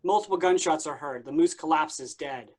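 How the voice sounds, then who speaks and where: A man's voice: fairly high pitch, normal speed, darkish tone. A man reading scripted stage directions as the narrator of a staged play reading.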